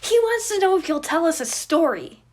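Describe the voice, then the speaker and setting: Woman playing a loud, hyper little boy. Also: very high-pitched, moderate pace, pitched above the performer's own voice. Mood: eager. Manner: loud, eager, interrupting, excitable. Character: hyper, wild-eyed, pushy chaotic small boy, fantasy side.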